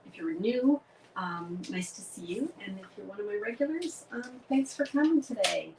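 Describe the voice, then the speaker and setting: A woman's voice: medium pitch, creaky, noisy background. A middle-aged woman from Canada with a head cold, hosting a casual live craft demonstration and greeting viewers by name.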